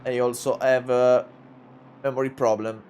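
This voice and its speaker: A man's voice: medium pitch, moderate pace, a little hiss or hum. A man in his twenties with an Italian accent, commentating a sim race in English, hesitant, with many fillers and restarts. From Italy. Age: 20s. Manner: gets louder and more excited in close battles.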